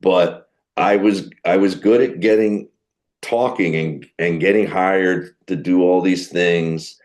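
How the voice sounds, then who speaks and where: A middle-aged man with a deep voice, a comedian telling animated stories in a podcast interview. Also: slow pace. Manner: animated storyteller who acts out quoted people. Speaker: guest comedian.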